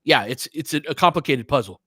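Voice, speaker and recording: A man's voice: a little high, animated, fast, fairly bright tone, slightly rough, no echo, clean studio sound. A man doing casual live basketball commentary as a fan host, slangy and sweary, talking to the players.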